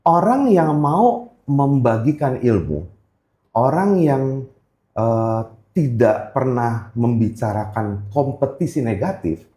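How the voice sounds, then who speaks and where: A man's voice: mid-range voice, animated, slow pace, mellow. A man with a Jakarta Indonesian accent chatting casually as a podcast guest, colloquial and sprinkled with English phrases. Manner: colloquial, peppers talk with "bos" and English phrases. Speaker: guest, pawnshop business owner. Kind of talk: casual podcast chat, storytelling. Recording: roomy echo.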